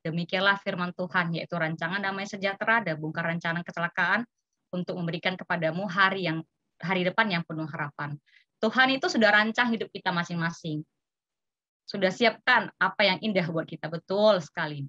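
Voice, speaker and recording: A woman's voice: on the low side, fairly quick, bright, forceful tone, dry recording, highs cut off. A woman presenting at an informal seminar, explaining conversationally and acting out example remarks. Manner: illustrative, acts out example remarks, checks in with "ya". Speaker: seminar speaker. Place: online video meeting.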